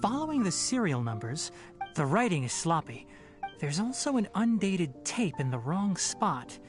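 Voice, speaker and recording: Game role: thoughtful investigator, low voice, lively intonation, faint music behind. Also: female voice, moderate pace. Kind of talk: video game character acting.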